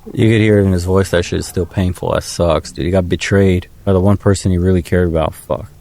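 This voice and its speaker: Super deep voiced guy, blunt, swears a bit, reacts to comments and gives advice. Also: fairly even intonation, fairly quick, gravelly, mellow. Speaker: online commentator.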